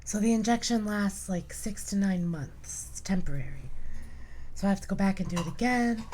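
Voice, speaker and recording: A woman in her thirties with a low, breathy voice from vocal cord paralysis, chatting as a podcast guest in halting short bursts and running out of breath. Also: a little mellow, a little hiss or hum. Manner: halting, explains in short chunks, runs out of breath. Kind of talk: casual chat. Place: houseboat.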